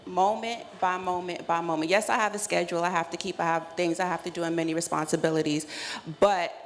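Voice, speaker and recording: A woman's voice: lowish voice, fairly even intonation, quick pace. A woman speaking as a panelist in an earnest, affirming, reflective way. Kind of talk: reflective panel talk on self-care. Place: live panel event in a room. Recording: reverberant room with clear background noise.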